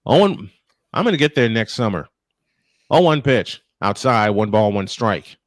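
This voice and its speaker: A man's voice: fairly low pitch, sing-song, normal speed, no room sound, clean studio sound. A man calling a baseball game live as play-by-play host, slipping between commentary and casual chat.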